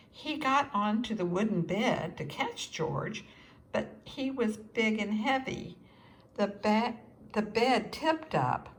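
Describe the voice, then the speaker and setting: A woman reading a children's story aloud as scripted narration, expressive and with deliberate pauses for young listeners. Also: mid-range voice, a little hiss or hum, low-quality recording. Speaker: storybook reader.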